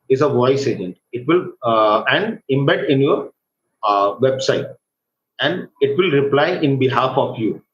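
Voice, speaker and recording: Indian accent guy teaching an online software class, kinda halting and unsure, dull muffled mic w a bit of noise. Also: medium pitch. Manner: halting, hesitant delivery with repeated check words. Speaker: instructor of an online workshop. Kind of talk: live software tutorial, informal teaching. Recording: roomy echo, dull lo-fi microphone, faint noise.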